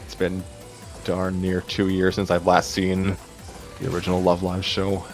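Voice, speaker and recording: A man's voice: deep voice, monotone, moderate pace, soft background music. A man chatting casually as one of the voice readers in a live story read-along. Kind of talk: casual chat.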